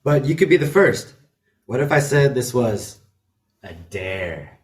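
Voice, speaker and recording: A man's voice: mid-range voice, sing-song, unhurried. A man playing a goading, teasing boy among friends in an amateur audio drama. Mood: sly, daring.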